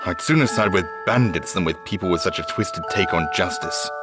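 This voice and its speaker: An actor playing a stern, honor-bound warrior companion in a fantasy game, measured and disapproving. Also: male voice, sits low, close to an everyday voice. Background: mallet game music.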